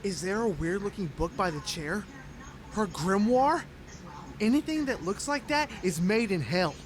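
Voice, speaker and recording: An actor playing a mischievous, nosy schoolboy in an audio drama, excitable and taunting his friends. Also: mid-range voice, unhurried, crowd noise, lightly acted. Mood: nosy, mocking.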